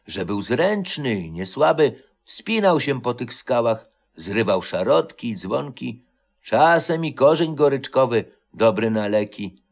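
A man voicing a grey-haired old highlander who solemnly tells a folk legend in an audio storybook.

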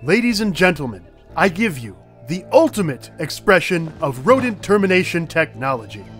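A man playing a smug, pompous male inventor in an animated comic drama, with a grandiose, showy delivery. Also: a little high, moderate pace, soft background music. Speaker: actor in a motion comic. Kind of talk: theatrical animated drama acting.

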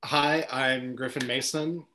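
A man's voice: medium pitch, steady tone, slow pace, bright, forceful tone, a little hiss or hum. A man in his twenties, a theater student, introducing himself casually on a video call. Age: 20s. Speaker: theater student, sound designer. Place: indoor room, video call.

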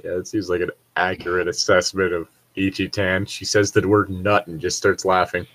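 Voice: deep voice, flat delivery, normal speed, fairly bright tone, dry recording. A person chatting casually and reading fanfiction aloud for laughs, with a sweary, teasing manner. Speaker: co-host of a group fanfiction reading. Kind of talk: casual chat and comedic read-aloud.

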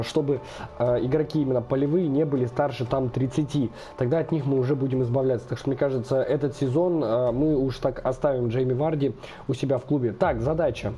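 A man's voice: medium pitch, warm tone. A young man hosting a gaming channel, chatting casually as he plays a football management game, thinking aloud with lots of fillers, his voice a little off from being slightly ill. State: slightly unwell, voice affected. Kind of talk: casual let's-play commentary.

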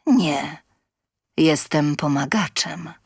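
A woman voicing a polite, calm, reserved gentleman in an audio short story, speaking formally and in a measured way. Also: creaky, breathy edge, dry recording, studio quality, heavily acted. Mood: polite, composed. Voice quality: low timbre.

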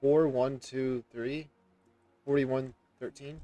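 A man in his thirties plays a game and talks along casually. His voice sits at an average pitch, and he rambles and reacts as he goes, with faint music behind him. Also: fairly even intonation, takes it slowly, warm tone. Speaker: gameplay streamer. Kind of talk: casual game commentary, reading on-screen text aloud.

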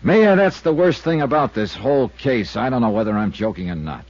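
A man's voice: medium pitch, animated, fairly quick, darkish tone, no echo. An actor playing a wisecracking male insurance investigator who narrates his own case in a dry, wry, matter-of-fact way, in a 1950s radio drama. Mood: guarded. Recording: dull old radio transcription.

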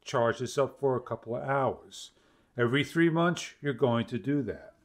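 A man in his fifties giving an instructional product demonstration to camera, with halting phrasing. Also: medium pitch. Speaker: product reviewer. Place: small home studio room, indoors.

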